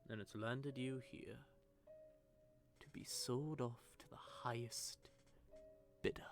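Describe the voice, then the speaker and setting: A smug, sardonic male vampire, acted by a man in an audio roleplay. His voice sits at a middling male pitch and is thick with gravelly fry. He drawls teasing taunts straight at the listener, with music playing underneath. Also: fairly even intonation, takes it slowly. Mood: teasing, gloating. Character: smug, sardonic male vampire gloating over a captive hunter. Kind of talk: scripted audio roleplay monologue addressed to the listener.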